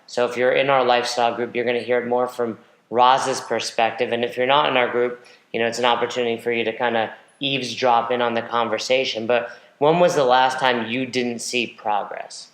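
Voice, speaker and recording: A direct, joking man hosting a podcast, his voice at an ordinary middle pitch. He talks like a coach giving straight advice to his listeners. A roomy echo hangs around his voice. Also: level tone, a little fast, a bit bright. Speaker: podcast host and nutrition coach. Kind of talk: casual podcast chat.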